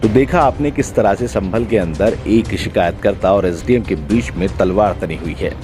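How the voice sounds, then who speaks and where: A man's voice: on the low side, normal speed. A man reading a scripted news report as presenter. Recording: loud music bed behind voice. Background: background music.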